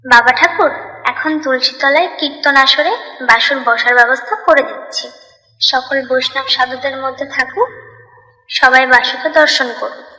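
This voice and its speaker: Humble cartoon village housewife played by a woman, earnest and respectful, bright voice, long reverb. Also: sits high, normal speed. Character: humble, devout village housewife welcoming holy men. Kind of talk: devotional cartoon dialogue.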